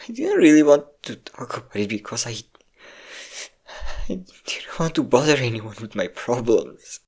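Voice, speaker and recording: A man playing a depressed young man who tearfully confides in his best friend, halting and self-deprecating, in an intimate audio roleplay. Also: medium pitch, big pitch swings, creaky, darkish tone, breathy edge, heavily acted. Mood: vulnerable, halting. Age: young adult.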